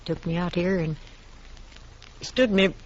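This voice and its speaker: A woman's voice: on the low side, fairly even intonation, slow pace. A woman playing a stubborn older frontier widow in a radio western, with feisty, folksy American speech. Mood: softening, wistful. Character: stubborn older widow, frontier homesteader guarding her land. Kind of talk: old-time radio western acting. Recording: dull lo-fi recording with clear background noise.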